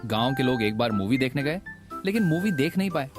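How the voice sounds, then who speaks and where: A man's voice: medium pitch, animated, brisk, natural voice. A man voicing a cocky, playful village joker and master to a sidekick in a cartoon. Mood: teasing. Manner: teasing, playful. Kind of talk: Hindi cartoon voice acting. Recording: loud music behind the voice.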